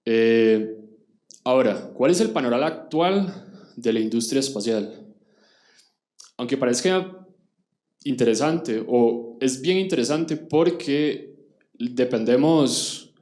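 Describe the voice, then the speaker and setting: A man's voice: medium pitch, darkish tone, roomy sound. A man from Costa Rica with a Costa Rican accent, giving an explanatory conference talk directly to his audience. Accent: Costa Rican Spanish. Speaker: conference speaker.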